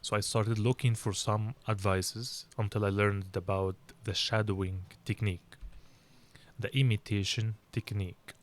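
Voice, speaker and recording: A young Egyptian man, whose first language is Arabic, speaks clear, careful English with an Egyptian accent. His voice sits a little low, and he talks in a measured, reflective way while sharing his experiences for learners. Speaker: English learning channel host. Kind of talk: personal story for language learners.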